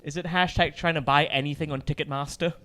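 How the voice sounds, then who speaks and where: A man's voice: on the high side, fairly even intonation, quick pace, sharp tone. A man from Singapore joking as a guest on a comedy panel show. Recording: roomy live venue, background noise.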